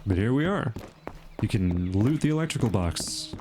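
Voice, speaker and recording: A man's voice: on the low side, expressive, moderate pace, over music. A man hosting a casual gameplay video, chatting and thinking aloud with lots of fillers while his nose is stuffed up from allergies. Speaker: gaming channel host.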